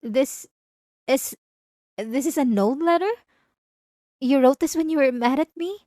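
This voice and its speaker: A woman's voice: high-pitched, mellow, no echo, studio quality. A woman playing a possessive, obsessive wife in an intimate, theatrical ASMR roleplay monologue. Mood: confused, startled. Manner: intimate, theatrical, drawn-out emphatic phrases. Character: possessive, obsessive yandere wife confronting her spouse.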